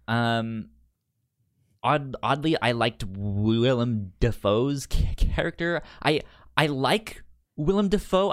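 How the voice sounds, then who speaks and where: Animated, stammering man chatting. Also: on the high side. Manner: stammering, repeats first sounds of words. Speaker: podcast co-host. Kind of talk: casual film discussion chat.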